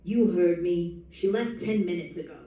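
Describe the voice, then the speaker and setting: A man's voice: high voice, moderate pace, very noisy, natural voice. An actor playing a curt, bossy gangland henchman on the telephone in an old-time radio drama. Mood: curt, commanding. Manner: curt, commanding. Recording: muffled, roomy stage, distant from mic.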